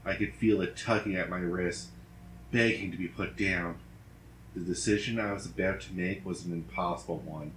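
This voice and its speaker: Man, deep voice, grave horror narration, deliberate delivery, echoey room with some noise. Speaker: story reader. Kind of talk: dramatic horror story narration. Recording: roomy echo, background noise.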